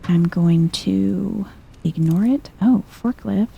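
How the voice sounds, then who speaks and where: A soft-spoken woman giving hushed ASMR-style game commentary, musing to herself in an unhurried, breathy way and often dropping into a whisper. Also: mid-range voice, lo-fi sound, a little hiss or hum. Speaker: video game streamer, let's player.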